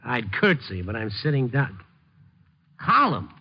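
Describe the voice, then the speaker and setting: A man's voice: medium pitch, very animated, a little slow, breathy edge, full character voice. A man playing a wry, weary newspaper columnist in a 1950s radio drama. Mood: wry, rueful. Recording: dull 1950s radio broadcast, music behind.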